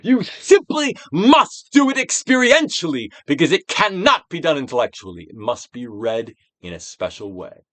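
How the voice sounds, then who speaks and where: A man gives a dramatic, stage-trained reading of a self-help book, emphatic, with drawn-out phrases that swell and drop to a hush. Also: fairly high pitch, very animated, no room sound. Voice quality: trained stage-actor delivery. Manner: emphatic, drawn-out phrases with big dynamic swells and hushed drops. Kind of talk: theatrical dramatic audiobook reading.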